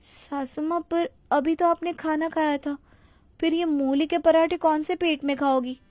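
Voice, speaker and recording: A woman's voice: high-pitched, fairly even intonation, measured pace, a little mellow, no room sound, voice pushed up. A woman voicing a young daughter-in-law in a moral story, dutiful and polite but exasperated and complaining.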